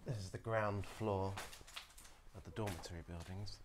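A man in his thirties with a fairly low voice narrates as he wanders an empty, abandoned dormitory. He points out and explains what he finds in a curious, easygoing way. The phone camera is held at arm's length, with faint noise behind him. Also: steady tone, normal speed. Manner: curious, explanatory, talking while walking. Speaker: urban explorer vlogger. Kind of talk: casual walking tour commentary. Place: abandoned dormitory building, indoors.

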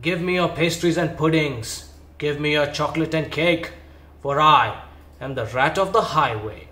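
Bullying rat bandit, put on by a man reading a storybook, loud, gloating, boomy echoey room. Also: measured pace. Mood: bellowing. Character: greedy bullying male rat highwayman.

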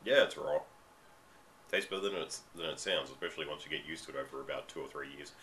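Deep-voiced Australian man ranting to webcam. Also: sharp tone, slight background noise. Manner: ranting, emphatic, drawn-out stresses. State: getting over a cold. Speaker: vlogger. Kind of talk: commentary monologue. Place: dim room at home, indoors.

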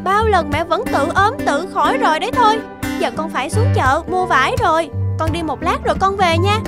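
A woman's voice: very high-pitched, expressive, fairly quick. A woman voicing a spoiled, lazy young village girl with a whiny, childish manner in a folk-tale cartoon. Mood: whiny, dismissive. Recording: children's music behind the voice.

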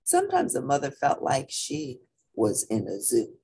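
A husky-voiced woman reading a children's picture book aloud in a dramatic, expressive way, drawing out repeated phrases. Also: extremely low pitch, unhurried.

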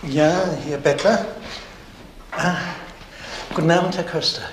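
An actor playing a nervous, obsequious informant in a German crime radio play, hesitant and deferential. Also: male voice, fairly high pitch, steady tone, takes it slowly, noisy background. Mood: eager, deferential. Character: nervous, obsequious male informant and would-be blackmailer.

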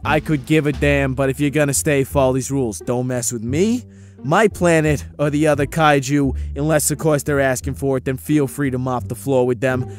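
A man voicing a gruff, grumpy, cocky monster king in an animated comic dub, gravelly and swaggering. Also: medium pitch, lightly acted. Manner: swaggering, laid-back threats.

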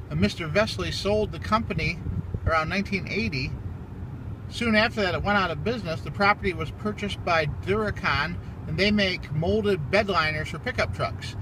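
Man narrating an abandoned-factory walkthrough. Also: high voice. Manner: matter-of-fact, explanatory. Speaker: vlogger exploring abandoned sites. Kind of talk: casual walking tour narration. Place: outdoors at an abandoned factory lot. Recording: outdoor recording with loud wind and traffic noise.

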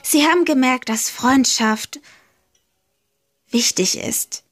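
A woman with a fairly high voice tells a little storybook tale very slowly. She takes her time over each phrase and gently stresses the words that matter. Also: slightly rough. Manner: slow, deliberate, gently emphatic. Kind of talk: slow storybook narration.

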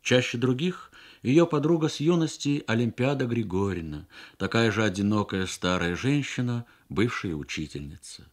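A man narrating a literary story for radio with measured, expressive phrasing and deliberate pauses. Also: mid-range voice. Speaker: story reader.

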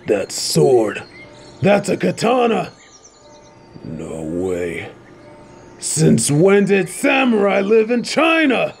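A cruel, swaggering male wolf who leads a bandit pack in an audio drama. The voice sits high for a male and has a gravelly edge. He draws out his taunts at an unhurried pace and barks commands with open menace. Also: a little mellow, heavily acted. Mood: angry disbelief. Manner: taunting, swaggering, barking orders at his gang. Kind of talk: scripted fan audio roleplay acting.

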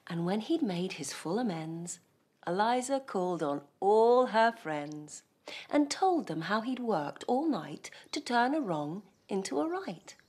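A woman in her thirties reading a rhyming bedtime story aloud in a studio, soft and hushed, often dropping to a whisper. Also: mid-range voice, big pitch swings, a little slow, slightly rough. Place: cosy studio set.

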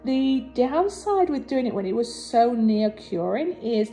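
A slightly husky woman narrates her own craft video in a casual, encouraging voice-over, sounding a little tired. Her voice sits fairly high but mellow. Soft music plays faintly underneath. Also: sing-song, unhurried. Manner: chatty, warm and encouraging, with fillers and restarts. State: low energy after recent surgery. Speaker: resin artist and channel host.

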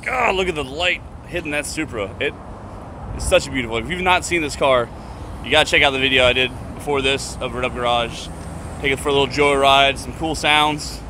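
A man in his thirties hosting a casual travel vlog, excitable and quick to laugh. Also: medium pitch, background noise. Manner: upbeat, excitable, laughs often. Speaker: vlog host. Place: restaurant and street, indoors and outdoors.

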